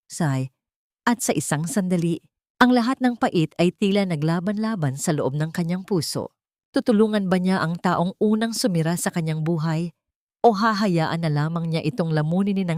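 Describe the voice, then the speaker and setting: Female narrator for dramatic audio story, lower register, dry recording. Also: a little mellow, no echo.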